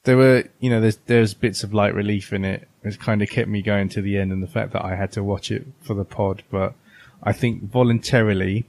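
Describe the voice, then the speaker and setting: A man's voice: fairly low pitch, steady tone, brisk, vocal fry, a little mellow, no room sound. A man co-hosting a podcast in casual, conversational discussion. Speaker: podcast co-host.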